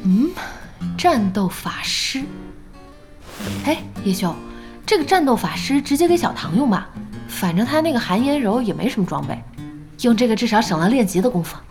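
A woman's voice: on the high side, very animated, normal speed, long pauses, over music. An actress playing a spirited, bossy young female internet cafe owner in a Chinese audio drama, speaking frankly and expressively. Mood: businesslike, casual.

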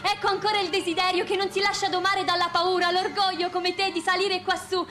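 An actress playing a proud, grieving widow, reciting poetically in a theatrical radio drama. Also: female voice, very high-pitched, level tone, a little fast, sharp tone. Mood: lyrical, proud.

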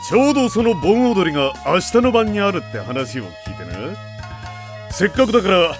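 An actor playing a boisterous middle-aged king and father in an anime audio drama, with rough, slangy bravado. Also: sits high, very animated, normal speed. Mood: jovial. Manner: gruff slangy downtown bravado. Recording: music behind the voice.

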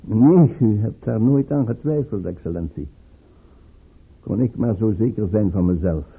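Reluctant chancellor, anxious, formal, measured, heavily hoarse-edged voice, radio drama, narrow muffled vintage recording. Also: male voice, on the low side, animated, full character voice. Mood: sorrowful, dreading. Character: anxious, principled imperial chancellor, reluctant conspirator. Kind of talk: Dutch radio play acting.

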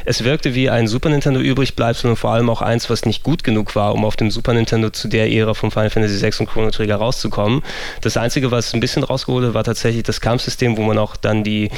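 German man, gravelly voice, dry close mic, rambling casual podcast talk. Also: lowish voice, level tone, fast, no room sound. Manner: rambling, many fillers and restarts. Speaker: podcast host. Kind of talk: casual gaming podcast chat.

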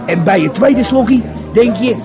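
An actor playing a jovial, bawdy, rambling party host pushing drinks in a radio drama. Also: male voice, extremely high pitch, expressive, measured pace. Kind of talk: naturalistic radio drama acting. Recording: loud music and party crowd.

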